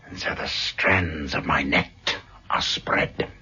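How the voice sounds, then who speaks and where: An actor playing a suave, cold criminal mastermind in a vintage radio drama, speaking in a measured, pompous, formal way. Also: male voice, rumbling low, fairly even intonation, pushed and bright, husky, full character voice. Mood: cold, self-satisfied. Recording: dull, muffled vintage radio recording.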